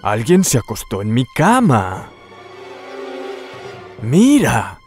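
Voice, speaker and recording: A man's voice: mid-range voice, wildly swooping pitch, slow pace, a little mellow, soft background music. A man voicing an angry, indignant father bear in a children's cartoon, exclaiming his lines.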